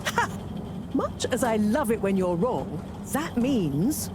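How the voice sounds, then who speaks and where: An actress playing a brisk, sharp-tongued woman scientist in a video game, theatrical and emphatic. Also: mid-range voice, very animated, heavy background noise. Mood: brisk, lecturing.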